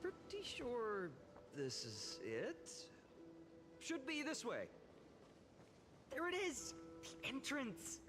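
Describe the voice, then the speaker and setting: Game youth: swooping, earnest, friendly delivery, long reverb, music behind. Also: female voice, on the high side, wildly swooping pitch, takes it slowly, long pauses, heavily acted. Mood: eager. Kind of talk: video game character dialogue.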